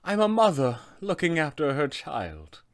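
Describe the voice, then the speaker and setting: Man voicing a high, slow, crooning old witch. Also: huge pitch swings, exaggerated voice. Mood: coyly evasive. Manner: sweetly crooning, slow, measured. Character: old, deluded, doting motherly witch, softly sinister.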